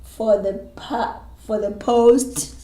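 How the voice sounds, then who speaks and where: A woman teacher with a Zulu South African accent, mixing Zulu and English as she dictates slowly while writing. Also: a little high, fairly even intonation, mellow. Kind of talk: classroom lesson on job applications. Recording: roomy, echoey room.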